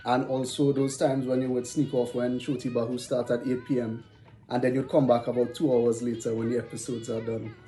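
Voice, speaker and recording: Echoey recording, guy with flat mid voice saying a goodbye, faint music. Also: mid-range voice, fairly quick. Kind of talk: personal farewell tribute.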